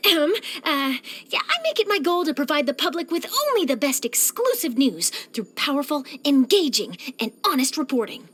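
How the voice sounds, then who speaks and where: A woman voicing an eager, nosy young female journalist in a video game, chatty, theatrical and expressive. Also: high voice. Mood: determined, proud. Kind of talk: animated game character voice lines.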